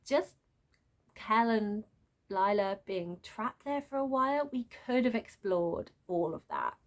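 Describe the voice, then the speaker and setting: A woman giving an unscripted, chatty book rant as a reviewer, exasperated and prone to animated asides. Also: mid-range voice, takes it slowly. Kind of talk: unscripted book rant, casual vlog chat.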